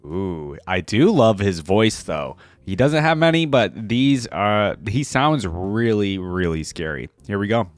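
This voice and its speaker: Young male reaction host, lowish voice, informal running commentary, dry recording. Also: expressive, measured pace, few pauses, dry recording, soft background music. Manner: informal, slangy.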